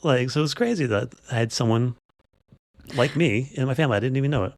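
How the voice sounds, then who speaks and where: A man's voice: mid-range voice, brisk, no room sound, studio quality. A man hosting a podcast, chatting casually in an informal, rambling storytelling way. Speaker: podcast host. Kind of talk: casual unscripted podcast chat.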